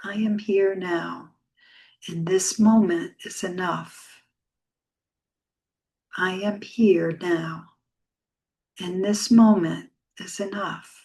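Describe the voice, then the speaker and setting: A woman leading a guided meditation as a yoga teacher, speaking softly and soothingly with long, unhurried pauses. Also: lowish voice, fairly even intonation.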